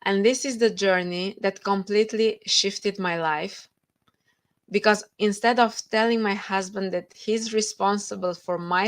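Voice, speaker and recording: A woman with a Romanian accent, a guest author telling her story with earnest feeling in a podcast interview over a video call. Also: medium pitch.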